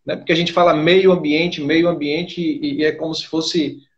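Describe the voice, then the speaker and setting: A man's voice: on the high side, level tone, moderate pace, muffled recording. A man hosting a live online interview, framing his questions hesitantly with many fillers and restarts. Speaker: host.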